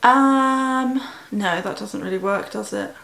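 A young woman with an English accent talks casually to a phone on a tripod in her small bedroom. She keeps it chatty and self-mocking, filling pauses with ums and likes. Her voice sits at a middling pitch, and the room's echo hangs around it. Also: gravelly, a bit bright, slight background noise. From England. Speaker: vlogger. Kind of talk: casual vlog chat to camera.

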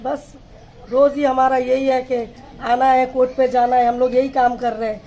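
A woman's voice: sits high, little pitch movement, normal speed, dark tone, muffled recording, slight background noise. A woman in her fifties, a local resident, interviewed in the street, complaining in a distressed, pleading way. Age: 50s. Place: street outdoors.

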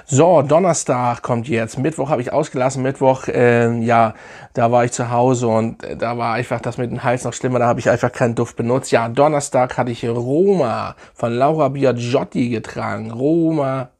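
A man in his thirties with a sore, hoarse throat, chatting enthusiastically as he reviews fragrances on camera. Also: medium pitch, fairly even intonation, darkish tone. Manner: enthusiastic, chatty, drawn-out emphatic phrases. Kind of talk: casual product review vlog. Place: living room, seated indoors.

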